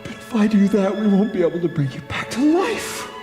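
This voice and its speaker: A man's voice: sits high, very animated, fairly quick, over music. An actor playing a devoted, grieving man who speaks earnestly in a game cutscene. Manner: earnest, breaks into anguished crying. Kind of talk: 1990s game cutscene acting.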